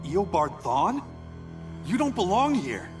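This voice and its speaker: A man's voice: high-pitched, sing-song, slow pace, music in the background, full character voice. An actor playing a wry, reflective male speedster hero in a video game cutscene. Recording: game cutscene with loud score.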